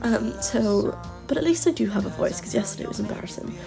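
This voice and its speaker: Female vlogger with a cold: nasal, slightly high, with vocal fry, rambling casual voice-over with music underneath. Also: lively intonation, brisk. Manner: chatty and rambling, many ums. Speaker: game build creator. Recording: music playing behind the voice.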